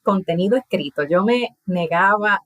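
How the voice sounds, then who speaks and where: A woman in her thirties from Puerto Rico with a Puerto Rican accent and soft aspirated s sounds, explaining in a casual interview and laughing often. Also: medium pitch, normal speed, dry recording. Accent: Puerto Rican Spanish, aspirated s. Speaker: guest, language content creator.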